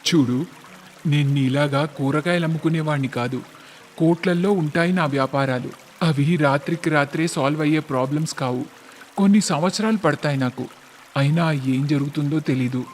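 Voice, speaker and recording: A man's voice: fairly high pitch, normal speed, slight background noise, close to an everyday voice. A man voicing a proud, emotional young businessman in a cartoon moral story, with dramatic, emphatic delivery. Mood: bitter. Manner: dramatic, emphatic, repeats words for effect. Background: background music.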